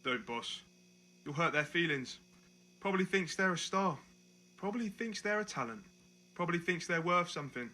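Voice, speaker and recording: A man's voice: a little high, expressive, moderate pace, long pauses, sharp tone. A man playing a mocking, sycophantic detective constable who taunts a prisoner in a dystopian audio drama. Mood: mocking, menacing. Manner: taunting, sarcastic, sycophantic to his boss.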